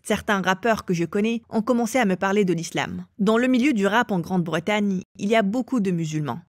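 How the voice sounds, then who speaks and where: A woman's voice: medium pitch, fairly quick, no room sound, clean studio sound. A woman from England telling her own life story as an interview guest. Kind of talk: personal testimony in an interview.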